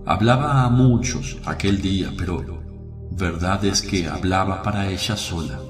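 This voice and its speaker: A male actor plays a gentle, merciful holy teacher in a devotional radio play. His low-pitched voice moves slowly and solemnly, with tenderness in the measured delivery. The sound is roomy and echoing, with music underneath. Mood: tender, reassuring. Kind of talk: devotional radio drama acting. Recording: roomy echo over music.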